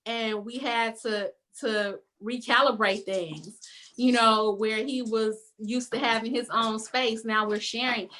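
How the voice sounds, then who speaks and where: A woman from the west side of Chicago with a Chicago accent, talking openly with a panel. Her voice is bright and sits a little high. She thinks aloud and lets "um" fill the gaps, heard through a video call. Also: fairly even intonation. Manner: reflective, with frequent "um" pauses. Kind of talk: candid personal sharing on a video call panel.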